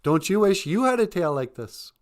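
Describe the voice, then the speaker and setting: A man voicing a vain, boastful male beaver who brags loudly in a high voice. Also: big pitch swings, normal speed. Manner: bragging, loud and high.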